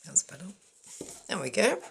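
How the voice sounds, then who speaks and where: A woman's voice: on the low side, sing-song, moderate pace, creaky, fairly bright tone, slight background noise. A woman hosting a crafting tutorial, chatting casually and with self-deprecating asides while she works at a table. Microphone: overhead camera on the work, speaker off frame.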